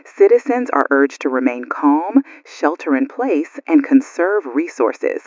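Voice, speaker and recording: Woman acting anxious radio co-host, animated delivery. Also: sits low, a little slow, dry recording, highs cut off. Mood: formal, reading. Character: anxious female radio news co-host during a blackout. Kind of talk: radio news drama acting.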